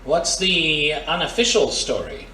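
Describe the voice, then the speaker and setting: A man's voice: on the high side, very animated, measured pace, bright, forceful tone, heavily acted. A man playing a brash, wisecracking ex-thief in a pulp adventure audio drama. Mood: wary, serious. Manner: wisecracking, slangy tough-guy talk. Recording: roomy, distant mic, faint noise.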